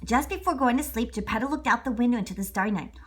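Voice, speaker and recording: A woman's voice: on the high side, expressive, fast talker, slightly rough. A woman narrating a children's read-along story in a playful way, addressing young listeners directly with questions and asides. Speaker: children's storyteller, channel host.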